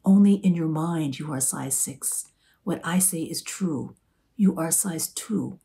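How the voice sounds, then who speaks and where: A woman's voice: sits low, takes it slowly, vocal fry, dark tone, exaggerated voice. Woman reading a scolding old-world mother: broken English, insistent, relentless. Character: bossy immigrant mother, older woman, scolding. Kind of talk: audiobook character voice.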